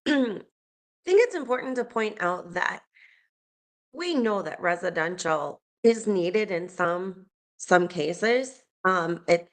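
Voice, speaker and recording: Woman, slightly low voice, earnest committee comment over a video call. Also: a bit bright. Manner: earnest, repeats words as she thinks. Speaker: committee member.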